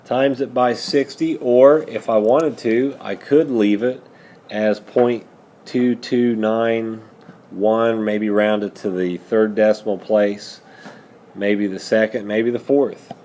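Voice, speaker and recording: A man's voice: on the low side, steady tone, slow pace, warm tone. A man working methodically through a math lesson as a teacher, patiently prompting students with questions. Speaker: high school algebra teacher.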